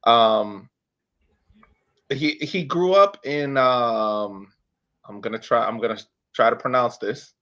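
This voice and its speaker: A man in his thirties talking casually about sport as a podcast host, halting and searching for words as he recounts details. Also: mid-range voice, bright, forceful tone, muffled recording. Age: 30s. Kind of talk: casual sports talk, recounting a player's career.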